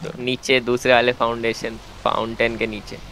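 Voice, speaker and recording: A man's voice: medium pitch, level tone, normal speed, vocal fry, bright, forceful tone, a little hiss or hum, low-quality recording. A man playing along on voice chat, answering with brief, casual remarks. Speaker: co-player on voice chat.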